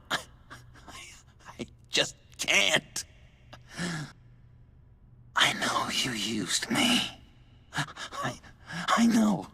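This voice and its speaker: Unhinged heartbroken video game villain, raspy and breathy, pitch all over the place, talks in slow halting bursts. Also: male voice, sharp tone, full character voice. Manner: halting, theatrical, breaking into laughter. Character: unhinged, lovesick male villain, wounded and bitter.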